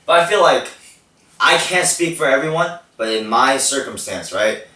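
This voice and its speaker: A teenage male podcast host, a native Korean speaker, telling stories in a casual, animated way with lots of "like" fillers. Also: medium pitch, normal speed. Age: teens. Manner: animated storytelling, frequent "like" fillers. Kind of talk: casual chat. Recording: echoey room, distant mic.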